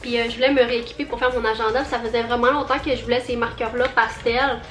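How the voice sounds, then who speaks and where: A young Quebec woman chats in a high, bubbly voice, rambling and filling her sentences with little fillers. Her voice rings a bit in an echoey room. Soft music plays quietly beneath her. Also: fairly even intonation, fast talker. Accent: Quebec French. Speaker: vlogger. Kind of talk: casual haul vlog.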